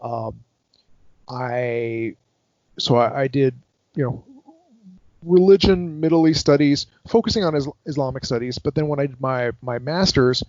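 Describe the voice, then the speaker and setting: A man's voice: medium pitch, measured pace, darkish tone, no room sound, highs cut off. A man in his fifties from Hawaii, a scholar giving a long account of his background in an interview over a call, hesitant and full of fillers and restarts. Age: 50s. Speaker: guest scholar. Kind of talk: academic interview.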